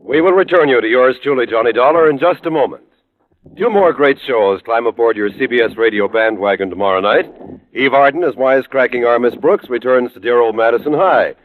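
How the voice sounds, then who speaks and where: A male radio announcer with a medium-pitched voice delivers scripted copy in a formal, polished vintage network style. The sound is that of a muffled old broadcast. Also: a little fast, slight background noise. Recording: muffled, band-limited old radio recording.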